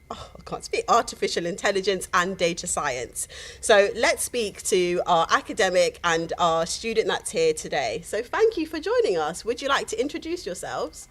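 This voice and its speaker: A woman hosting a university livestream, talking in an upbeat, chatty way with a few fillers. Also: mid-range voice. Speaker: livestream host.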